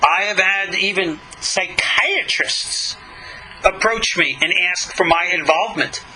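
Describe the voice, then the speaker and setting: Male religious teacher, mature, high pitch, very sharp bright tone; emphatic, skeptical text commentary over clear background noise. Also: a little slow, low-quality recording. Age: middle-aged or older. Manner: emphatic, skeptical, argumentative, with rhetorical questions. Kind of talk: religious lesson, reading a text aloud with running commentary.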